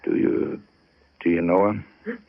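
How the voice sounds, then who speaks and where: Radio-era detective: brusque, commanding, clipped questions, animated range, slightly dull vintage broadcast recording. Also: male voice, deep voice, unhurried, creaky, exaggerated voice. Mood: hushed, grave. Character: brusque, hard-nosed police detective taking charge. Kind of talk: 1940s radio drama acting.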